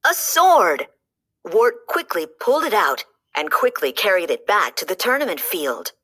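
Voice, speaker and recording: A woman reading a children's storybook aloud, with a slow, animated storytelling manner. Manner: slow, lively storytelling with dramatic pitch swings. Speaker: storybook reader. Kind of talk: children's read-aloud narration.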